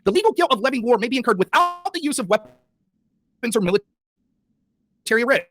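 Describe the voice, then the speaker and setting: A man's voice: very high voice, very animated, fairly bright tone. A man debating online who insists on his point and reads court opinions aloud. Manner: insistent, reads legal text quickly. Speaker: debate participant, show host.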